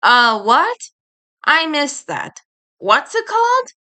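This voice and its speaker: A woman voicing a brash, excitable scheming boy from a cartoon, loud and exclaiming. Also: sits high, slow, pitched above the performer's own voice, heavily acted. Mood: teasing.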